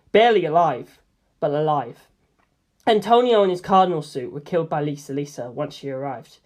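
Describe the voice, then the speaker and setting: A woman's voice: on the low side, darkish tone. A woman reading a novel aloud as a scripted first-person narration. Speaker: amateur audiobook reader.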